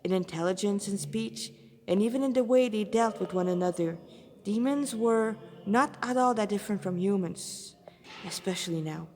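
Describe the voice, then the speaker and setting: A woman's voice: medium pitch, normal speed, a little mellow, big-room sound. A woman with a non-native English accent, an amateur narrator reading a story dramatically and sometimes stumbling over words. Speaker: amateur fan fiction narrator.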